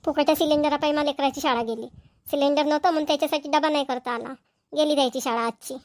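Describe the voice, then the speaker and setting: A woman's voice: high-pitched, fairly even intonation, fast talker, no room sound, natural voice. A woman playing a practical, nagging village wife and mother in a cartoon comedy, with a Vidarbha Marathi accent.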